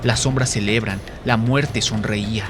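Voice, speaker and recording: A man's voice: on the low side, steady tone, a little slow. A man giving dramatic scripted narration of a story in a solemn, theatrical manner. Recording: music behind the voice.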